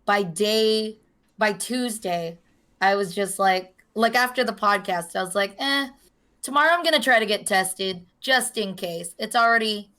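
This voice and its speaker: A young woman with a hoarse voice, co-hosting a podcast in casual chat, telling a long story and acting out the voices of the people in it. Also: mid-range voice, unhurried, bright, forceful tone. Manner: chatty storyteller, acts out quoted voices. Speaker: podcast co-host.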